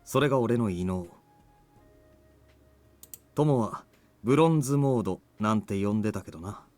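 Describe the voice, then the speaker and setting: A man playing a calm, cocky, confident fighter in a visual novel game. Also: lowish voice, close to an everyday voice. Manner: calm, cocky, unhurried by danger. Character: cool, confident tall male fighter ally. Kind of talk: Japanese visual novel voice acting. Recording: music under the voice.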